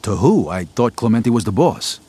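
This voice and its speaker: An actor playing a tough young mob recruit in prison who talks bluntly, in a video game cutscene. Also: male voice, fairly low pitch, very animated, normal speed, warm tone, slightly rough. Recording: game cutscene with music score.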